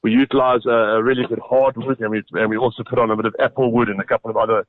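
A man with a South African accent explaining things casually and haltingly as a phone-in radio guest. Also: mid-range voice, level tone, no room sound. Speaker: restaurant owner, phone-in guest. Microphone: telephone. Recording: muffled phone line on radio.

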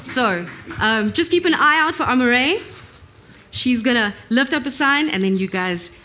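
A woman's voice: fairly high pitch, lively intonation, a little slow, bright, forceful tone. A woman, upbeat and encouraging as she hosts a live audience session. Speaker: presenter, audience warm-up leader.

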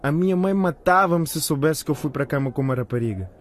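A man's voice: a little high, expressive, fast talker. A man playing an evasive, worried teenage schoolboy in a colloquial radio drama. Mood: anxious. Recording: faint background noise.

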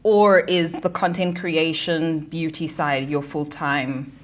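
Lady host asking questions, lowish voice, lots of ums. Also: fairly even intonation, takes it slowly. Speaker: interviewer. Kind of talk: podcast interview.

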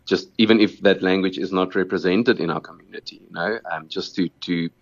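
South african guy, afrikaans first language, really deep voice, earnest, keeps stumbling over repeated words, dry muffled sound. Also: fairly even intonation, fairly quick, no echo. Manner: earnest, repeats words often while thinking. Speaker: musician, interview guest. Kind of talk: casual interview answers.

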